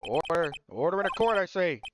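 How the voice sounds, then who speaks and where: A man's voice: high-pitched, very animated, fairly quick, background noise. A man playing a stern, formal old judge. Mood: commanding. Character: stern old male judge presiding over court.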